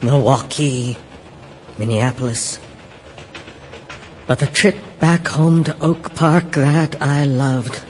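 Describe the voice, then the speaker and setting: Slow, brooding, melancholy man, acted. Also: medium pitch, slight background noise, natural voice. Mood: melancholy, uneasy. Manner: halting, brooding, short phrases. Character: uneasy, melancholy businessman longing for home. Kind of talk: audio stage play dialogue.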